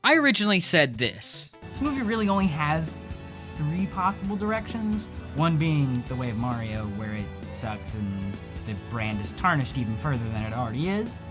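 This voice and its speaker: A man's voice: fairly high pitch, sing-song, moderate pace, music in the background. A young man hosting a video essay in a wry, casual scripted commentary style, with fillers. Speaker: host.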